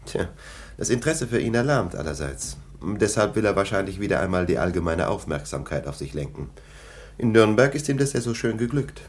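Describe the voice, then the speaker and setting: An actor in a radio play playing a brisk, sceptical police lieutenant with a curt, commanding manner. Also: male voice, low voice, very animated, a little hiss or hum. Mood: dismissive, sure. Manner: curt, interrogating, giving orders. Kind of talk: German radio play acting.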